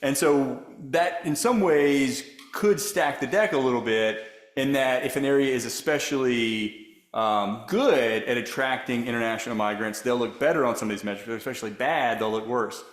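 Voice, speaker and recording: A man's voice: mid-range voice, moderate pace. A middle-aged man lecturing at a workshop answers audience questions, rambling and restarting his sentences often. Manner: rambling, self-correcting, many restarts. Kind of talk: academic Q&A answers. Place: lecture room. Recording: echoey room.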